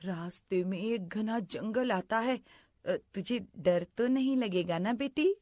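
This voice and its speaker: Cartoon stepmother, played by a woman: cruel and scheming, slow measured delivery, close dry sound. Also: mid-range voice, dark tone, dry recording. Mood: falsely sweet. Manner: scolding, plotting, falsely sweet. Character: scheming cruel stepmother who feigns sweetness. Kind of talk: animated story character acting.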